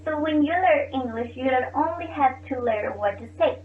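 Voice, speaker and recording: A high-pitched woman reads a student presentation slowly and carefully. She sounds distant and tinny, as if over a thin phone-like line in an echoey room. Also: expressive, slight background noise. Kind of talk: reading a class presentation.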